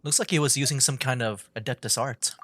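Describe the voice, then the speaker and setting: A man's voice: mid-range voice, a little fast, fairly bright tone. A man streaming a story game, rambling with lots of fillers as he reacts. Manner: rambling, filler-heavy, laughs easily. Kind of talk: casual let's-play commentary. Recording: stream with game music behind.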